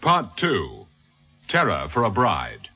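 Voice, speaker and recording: A man's voice: lowish voice, huge pitch swings, slow, very noisy. A man giving a scripted, dramatic announcement for a vintage radio serial. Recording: muffled vintage radio recording.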